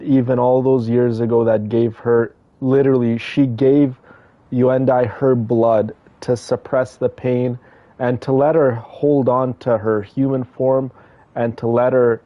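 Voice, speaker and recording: A man's voice: fairly low pitch, no echo, muffled recording. A man streaming a game and giving casual, earnest, effusive commentary on its story, repeating phrases for emphasis. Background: faint music.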